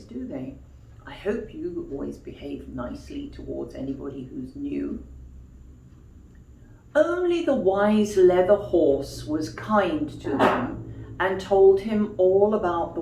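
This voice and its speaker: A woman storyteller reading expressively to young children like a teacher, pausing for questions and explanations. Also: lowish voice, gravelly, warm tone, slight background noise. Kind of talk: children's storytime read-aloud with explanatory asides. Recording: echoey room.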